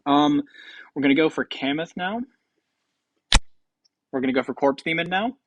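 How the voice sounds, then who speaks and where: A man's voice: fairly high pitch, moderate pace, dull audio, noisy background. A man hosting casual gaming commentary, rambling and thinking aloud with some hesitation. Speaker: video host.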